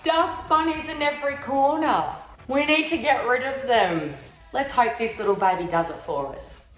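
A woman's voice: high-pitched, normal speed, fairly bright tone. A young Australian woman chatting through a product review vlog at home, bubbly and cheeky, sometimes breaking into little sung bits as she walks around her kitchen. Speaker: vlogger. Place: large modern kitchen and living room indoors. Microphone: phone camera on the bench, moving around the room. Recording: roomy echo with faint music.

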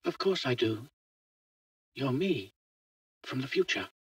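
A man's voice: mid-range voice, sing-song, takes it slowly, breathy edge, no echo, distant mic, pitched above the performer's own voice, heavily acted. A man voicing a fearless, chatty eleven-year-old boy who talks simply and matter-of-factly in a story. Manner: simple, childlike, matter-of-fact. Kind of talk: audio short story dialogue.

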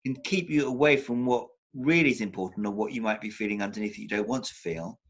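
Low-voiced man, thoughtful restarts. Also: steady tone, fast. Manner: thoughtful, many restarts, repeats words for emphasis. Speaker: psychotherapist guest. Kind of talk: reflective video-call interview. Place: home room with bookshelves. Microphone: laptop webcam on a video call.

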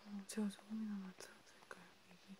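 A woman's voice: mid-range voice, fairly quick. A woman whispering breathily and hesitantly, close to the mic, in a casual ASMR roleplay conversation. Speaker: ASMR performer. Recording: steady background hiss and noise.

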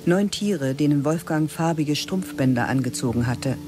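A man narrating a radio drama in a hushed, often half-whispered, dry manner. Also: fairly high pitch, moderate pace, over music.

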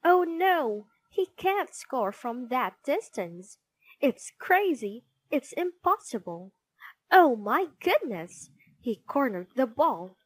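Woman doing an overexcited footy commentator in a kids story, voice high and all over the place. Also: sing-song, takes it slowly, husky, exaggerated voice, low-quality recording. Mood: thrilled. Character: excitable football match commentator, breathlessly thrilled. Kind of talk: sports commentary.

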